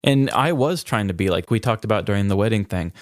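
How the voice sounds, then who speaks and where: A man's voice: on the low side, lively intonation, quick pace, no echo. Casual male podcast co-host, dry close sound. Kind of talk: casual chat.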